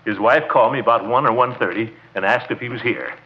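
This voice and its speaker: An actor playing a pompous, excitable middle-aged small-town commissioner with an American accent in a 1940s radio comedy. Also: male voice, mid-range voice, fast talker, a little hiss or hum, close to an everyday voice. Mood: aggrieved. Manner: pompous, excitable, self-important. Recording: muffled old radio recording.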